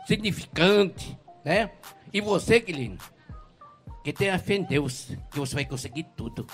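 A man's voice: sits high, a bit bright. Earnest older man in his seventies. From Mato Grosso do Sul, Brazil. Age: 70s. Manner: earnest, rambling, blessing-heavy. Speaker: herbal medicine vendor. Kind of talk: emotional street testimony.

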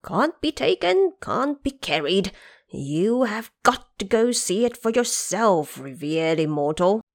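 Woman as a slow, anxious old Dragon King. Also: medium pitch, very animated. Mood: deferential. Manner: deferential, nervous, pleading.

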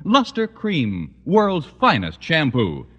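An American man delivers an emphatic 1940s radio sales pitch. His bright, husky voice swoops dramatically, with hall reverb on an old recording. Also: wildly swooping pitch, takes it slowly, lo-fi sound. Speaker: commercial announcer.

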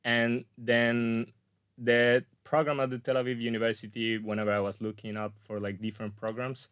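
A man's voice: medium pitch, flat delivery, moderate pace, fairly bright tone, clean tone, dry recording. A young man from Colombia, a graduate student guest, talking casually in an interview with many fillers and restarts. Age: young adult.

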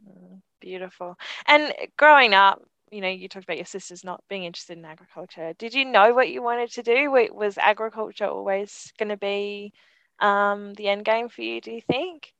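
A woman's voice: mid-range voice, normal speed, smooth, dry recording, dull audio. Encouraging woman podcast host, dry sound. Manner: warm, encouraging, affirming. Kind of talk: podcast interview, reads intro then chats.

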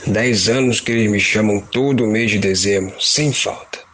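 A low-pitched, resigned old Brazilian man from Rio voiced by a male actor, playing a gentle out-of-work Santa. He talks colloquially, as in a radio play, over faint noise. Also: moderate pace, lightly acted. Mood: downhearted. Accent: Brazilian, Rio de Janeiro. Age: older. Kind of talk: conversational audio drama.

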